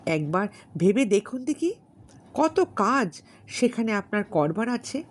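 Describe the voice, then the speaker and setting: A woman voicing a brash, insistent young gentleman in an audiobook story, repeating his points with emphasis. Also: mid-range voice, sing-song, slow, voice pushed up. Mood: pushy, indignant. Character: brash, pushy, cocksure young gentleman suitor. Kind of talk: dramatised literary audiobook dialogue.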